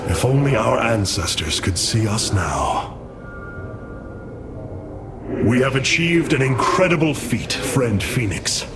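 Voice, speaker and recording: A man's voice: mid-range voice, expressive, takes it slowly, pauses often. A man voicing a noble alien warrior leader in a video game, formal, solemn and resolute. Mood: solemn, admiring. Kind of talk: dramatic game cutscene acting. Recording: loud music behind the voice.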